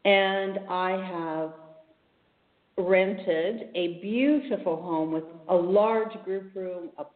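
A woman's voice: fairly low pitch, extremely slow. A woman speaking as a guest healer in a casual interview, telling her story earnestly and reflectively, with restarts. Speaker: podcast guest, spiritual healer. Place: home in a village, remote call. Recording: echoey, phone-like remote line.

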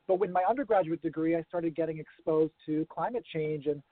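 A man with an American-sounding accent explains his field in a thoughtful, unhurried way, with a few fillers. His voice sits a little high. The sound is dry and thin, like a phone line. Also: no echo. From grew up around the world. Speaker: guest, urban farming consultant. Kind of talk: remote interview.

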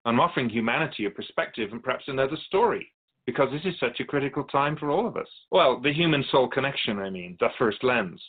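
Through thin, phone-like audio comes a calm otherworldly being, played by a man, with a mid-pitched voice. He explains humanity to humans in a measured, knowing, teacherly manner, as a guest in a radio drama interview. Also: slightly rough.

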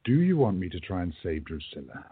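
An actor playing a commanding, wry older time traveler with an authoritative, scolding way in a radio play. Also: male voice, low voice, sing-song, a little fast, breathy edge, narrow, tinny audio, exaggerated voice. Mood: calm, knowing.

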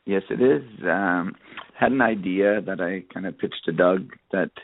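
A man with a very deep voice talks as a guest singer-songwriter in a casual radio interview. He rambles loosely, leaning on little filler phrases. The sound is close and dry. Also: measured pace, no room sound, phone-quality sound. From Nova Scotia, Canada. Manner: rambling, peppered with "you know" and "kinda".

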